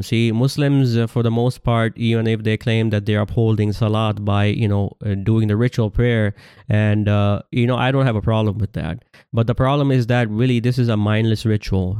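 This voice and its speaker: A man whose native language is Urdu gives a scripture study lecture, reading passages aloud and explaining them with many halting fillers and restarts. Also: on the low side, vocal fry, dark tone, clear, resonant tone, no room sound, studio quality. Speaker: religious teacher.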